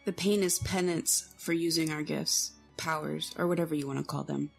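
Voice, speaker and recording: An actor playing a wounded, stoic young prince in an audio drama, strained and weary. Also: male voice, a little high, fairly even intonation, normal speed, gravelly, breathy edge, soft background music.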